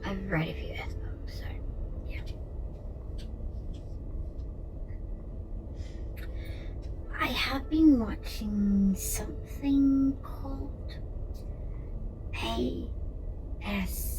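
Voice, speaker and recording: Woman acting as a gentle curious alien researcher, asmr whispery soft talk, super slow, roomy echo and a bit far from the mic. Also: a little high, over music. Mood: relaxed, chatty. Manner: soft, slow, soothing, often slipping into whisper. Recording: roomy echo, distant mic, faint noise.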